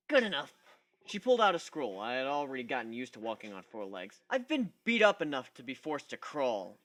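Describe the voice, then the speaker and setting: Male narrator, bright and slightly high, sarcastic, theatrical delivery of first-person fiction. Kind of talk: dramatic first-person story reading.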